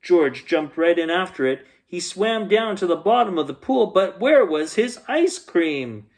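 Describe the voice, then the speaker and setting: Male storybook narrator, slow pace, animated pitch, dramatic pauses. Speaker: bedtime story reader. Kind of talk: expressive children's storybook narration.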